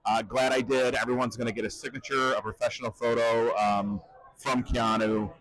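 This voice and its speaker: A man's voice: medium pitch, little pitch movement, fairly bright tone, poor audio. A man casually interviewing two people waiting in line, upbeat and friendly. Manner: friendly, upbeat, asks quick questions.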